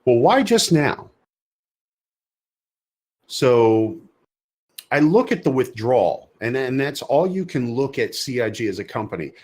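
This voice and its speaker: A man's voice: medium pitch, big pitch swings, a little slow, pauses often, a little mellow, breathy edge. A man co-hosting a casual podcast discussion, often repeating words as he searches for his phrasing. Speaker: podcast co-host.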